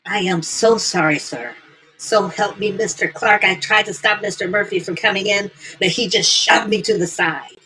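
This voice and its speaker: A woman's voice: sits low, a little slow, rough recording. A woman voicing a flustered personal secretary who apologises while catching her breath.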